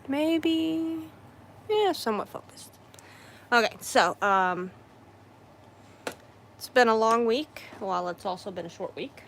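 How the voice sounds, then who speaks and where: A hoarse woman chatting slowly and easily while she crafts at home, clearing her throat often and circling back to fix what she just said. Her pitch sits mid-range, and a faint hum of room noise stays under her voice. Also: lively intonation. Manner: rambling, with frequent throat-clearing and self-corrections. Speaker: craft streamer. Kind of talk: casual livestream chat while crafting.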